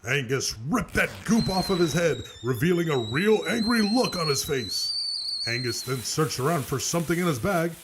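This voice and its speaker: Wildly animated, high-voiced male commentator. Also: moderate pace, heavy background noise. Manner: dramatic, animated. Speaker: fight commentator. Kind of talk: scripted play-by-play commentary.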